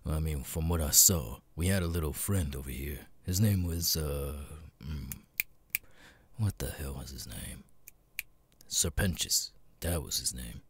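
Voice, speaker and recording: A man voicing a gruff, jaded cat demon bartender in an ASMR roleplay, muttering and swearing wearily with hesitant pauses in a deep, gravelly rasp. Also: no room sound, full character voice. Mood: wry, reassuring.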